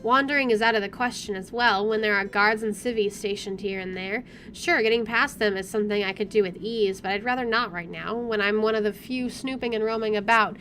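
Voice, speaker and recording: A woman's voice: medium pitch, brisk, hardly any pauses, a bit bright, slight background noise. A woman reading visual novel narration aloud with wry, dramatic expression over game music. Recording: music playing behind the voice.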